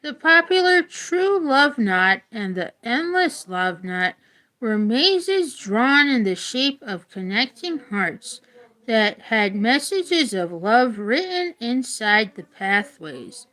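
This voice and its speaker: A woman's voice: a little high, very animated, slow, sharp tone, low-quality recording. A woman reading a children's nonfiction book aloud like an educator, adding informal, casual asides.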